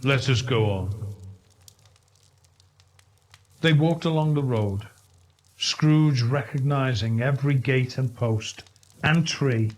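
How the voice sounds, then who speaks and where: A man reading a storybook aloud in an expressive narration, with measured, deliberate and dramatic phrasing. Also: medium pitch. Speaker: story reader.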